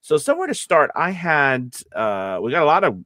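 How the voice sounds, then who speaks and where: A man co-hosting a casual livestream as a voice actor, talking in a rambling, halting way and often correcting himself. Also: fairly high pitch, wildly swooping pitch, sharp tone, no echo, studio quality.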